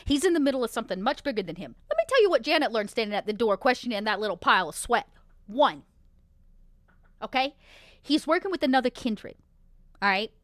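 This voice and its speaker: A woman's voice: fairly high pitch, very animated, a little fast, pauses often, no room sound, clean studio sound. A woman playing a tabletop roleplaying game, improvising in character with emphatic explanations and pointed questions.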